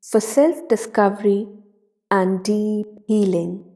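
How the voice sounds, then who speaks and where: A woman narrating a guided meditation in a soothing, deliberate manner, leaving long pauses between short phrases. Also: medium pitch, steady tone, dark tone.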